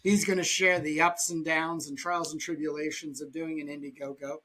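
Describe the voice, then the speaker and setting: A man hosting a podcast about comics in casual talk, pausing mid-sentence as he goes. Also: a little high, flat delivery, sharp tone, low-quality recording. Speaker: podcast host and comic artist.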